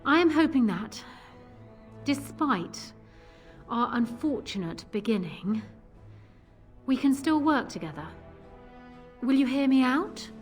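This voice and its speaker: A woman's voice: high voice, very animated, takes it slowly, pauses often, soft background music, heavily acted. An actress playing a proud, shrewd young queen in a fantasy game, speaking formally and assertively. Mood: urgent, earnest.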